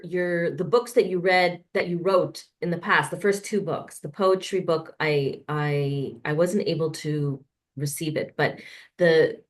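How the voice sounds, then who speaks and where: Low-voiced woman podcast host. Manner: warm rambling musing with many fillers. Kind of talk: casual interview conversation.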